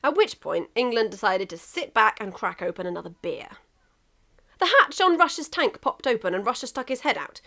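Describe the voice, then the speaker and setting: A woman narrating a comic piece of fan fiction in an expressive, emphatic storytelling manner. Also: fairly high pitch, moderate pace, gravelly.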